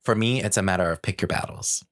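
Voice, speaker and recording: Very deep-voiced man chatting casually. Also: quick pace, no echo, clean studio sound. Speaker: podcast host and design researcher. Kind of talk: casual conversational chat.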